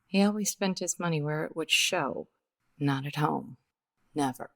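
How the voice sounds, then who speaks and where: An actress as a jaded widow from an old radio detective play. Her voice sits very low and crackles with fry. She measures her words, guarded and bittersweet, hesitating as she goes. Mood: subdued, resigned. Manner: world-weary, hesitant, measured. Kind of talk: 1950s radio detective drama acting.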